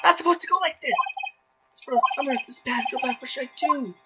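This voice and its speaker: A man voicing an earnest, excitable young male defense attorney in a video game fandub, speaking emphatically. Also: very high voice, quick pace. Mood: despairing. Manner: emphatic, declamatory. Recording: music behind the voice.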